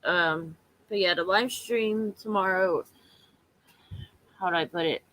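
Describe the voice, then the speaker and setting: A woman with a hoarse voice from allergies, talking casually and haltingly to her viewers on a home live stream. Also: fairly low pitch, bright, forceful tone, rough recording. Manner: halting, repetitive, earnest.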